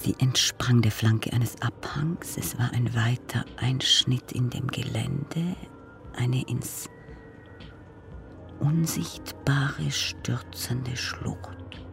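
A man performing as a brooding narrator of an eerie journey, with deliberate, drawn-out phrasing in an experimental radio play. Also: medium pitch, steady tone, creaky, in a whisper, music in the background. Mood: hushed, whispering. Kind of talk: dramatic literary recitation in an experimental radio play.

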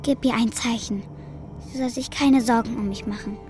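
A female actor plays a young girl in a children's radio drama. Her voice is high, with an eager, questioning manner that carries longing and stubbornness. Light music runs underneath. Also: fairly even intonation, normal speed, natural voice. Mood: longing, tender.

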